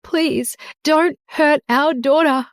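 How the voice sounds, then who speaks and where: A woman's voice: a little high, very animated, moderate pace, no echo, studio quality. A woman voicing an anxious, protective mother. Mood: pleading. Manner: pleading, placating.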